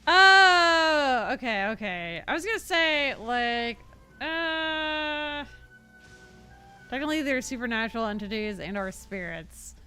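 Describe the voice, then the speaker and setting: A woman game streamer with a highish, very lively voice that swings up and down. She rambles expressively as she chats to viewers, with music playing behind her. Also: slow pace. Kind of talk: casual live game commentary, chatting with viewers.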